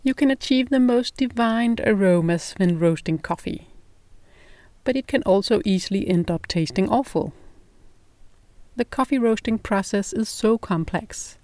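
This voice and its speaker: A middle-aged woman from Denmark, speaking English with a Danish accent as a non-native speaker, hosting a podcast in a calm, measured, scripted narration. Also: mid-range voice, dry recording. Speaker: podcast host.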